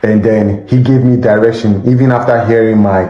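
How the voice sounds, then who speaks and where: A man's voice: fairly low pitch, normal speed, echoey room, lo-fi sound. A man with a Nigerian accent talking casually to his audience, slipping into Pidgin and bantering. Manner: animated, Pidgin-laced banter. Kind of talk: casual monologue to camera.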